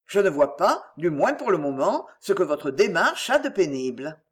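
Woman voicing an agitated, lower-voiced bourgeois father. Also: sing-song, moderate pace. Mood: polite, restrained. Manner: urgent, pleading, formal. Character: anguished, agitated middle-aged bourgeois father, formally polite. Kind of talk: acted audiobook dialogue.

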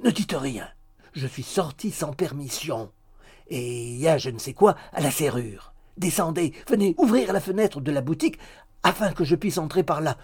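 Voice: medium pitch, lively intonation, moderate pace, a little mellow, slightly rough. A reader voicing a pompous young man in a story, pleading with urgent, exasperated grandiloquence.

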